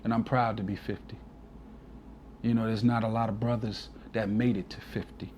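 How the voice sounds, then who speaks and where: A man's voice: fairly low pitch, little pitch movement, moderate pace, darkish tone, a little hiss or hum. A man in his forties, a DJ guest on an interview, talking earnestly and from the heart, often adding "you know". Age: 40s. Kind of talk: casual reflective interview talk.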